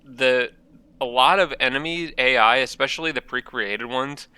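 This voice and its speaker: Guy with allergies narrating his strategy game, bit nasal and stuffy, super bright sound, casual and rambly. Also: medium pitch, moderate pace, clean tone, no room sound. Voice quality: slightly nasal from congestion. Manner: relaxed, thinking aloud, frequent ums. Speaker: gaming channel host.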